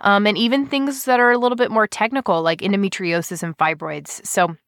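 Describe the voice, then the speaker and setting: A woman's voice: mid-range voice, fairly even intonation, a little fast, fairly bright tone, dry recording. An eager woman hosts a podcast in an easy, chatty way. She sounds a little nasal and stuffed up from a cold. Her voice is close and dry, with almost no room sound. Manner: upbeat and eager. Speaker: podcast host. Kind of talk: casual podcast chat.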